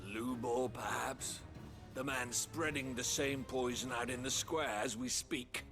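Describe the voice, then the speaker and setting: A man's voice: mid-range voice, fairly even intonation, a little slow, over music, natural voice. An actor playing a haughty, bitter and distrustful townsman in a video game, formal and dismissive. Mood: scornful. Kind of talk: dramatic video game acting.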